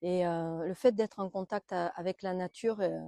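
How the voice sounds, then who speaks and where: Soft, mellow-voiced woman recalling her life. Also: lowish voice, steady tone, creaky, dry recording, clean studio sound. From born in Belgium, raised in southern France. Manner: hesitant, many filler pauses, grows soft and quiet. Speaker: naturopath interviewed about her life. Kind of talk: reflective personal storytelling.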